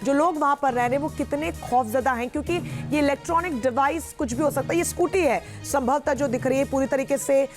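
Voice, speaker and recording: A very high-voiced woman anchors live breaking news over a music bed. Her delivery is urgent and emphatic, circling back to key phrases. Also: a little fast, hardly any pauses.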